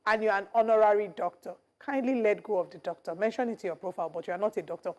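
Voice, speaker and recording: A woman's voice: mid-range voice, quick pace, darkish tone, dull audio. A woman co-hosting a morning talk show, giving opinions emphatically and stressing her key words. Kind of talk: casual studio chat.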